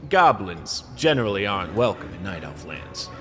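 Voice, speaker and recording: Goblin private detective male actor, semi-retired, hardboiled radio noir, brooding and wry, very lively intonation. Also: low-pitched, normal speed, soft background music. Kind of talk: hardboiled radio play narration and dialogue.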